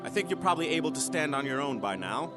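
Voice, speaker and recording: A man's voice: a little high, very animated, fast, over music. Man playing a theatrical, swooping-voiced mission commander. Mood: brisk, amused. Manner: authoritative, theatrical. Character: commanding, smooth-talking mission leader with hidden scheme. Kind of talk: sci-fi audio drama acting.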